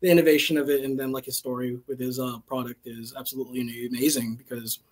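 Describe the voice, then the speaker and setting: A man appearing as a podcast guest over a video call from a small home room, relaxed and rambling, full of "like" and "you know". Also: mid-range voice, fast talker. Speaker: guest archer. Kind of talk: casual video-call chat. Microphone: video call microphone.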